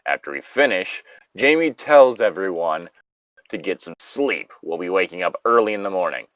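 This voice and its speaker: Low-voiced man, lively casual story reading. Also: moderate pace, no echo, muffled recording. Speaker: co-host reading an online story aloud.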